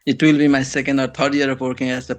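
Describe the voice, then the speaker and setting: A young man from Nepal with a Nepali accent, answering interview questions in halting, non-native phrasing. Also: medium pitch, little pitch movement, no echo, soft background music. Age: young adult. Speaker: guest, engineering graduate and former student.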